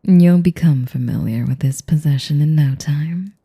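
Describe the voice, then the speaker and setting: A woman playing a sadistic, seductive vampire mistress in an intimate roleplay, whispering in commanding, condescending, cooing endearments with a husky, breathy voice. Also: moderate pace, dark tone, no room sound, studio quality, full character voice. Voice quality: breathy, husky whisper with creaky low tones.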